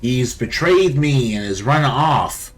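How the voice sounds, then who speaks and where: A man's voice: medium pitch, expressive, takes it slowly. A man giving casual, rambling game commentary as host, often repeating his phrases. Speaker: gaming video host.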